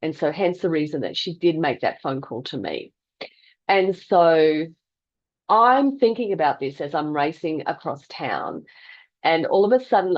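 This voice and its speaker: A middle-aged woman tells a personal story in a reflective, deliberate way with dramatic pauses. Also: low voice, dull audio. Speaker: health vlogger telling a personal story.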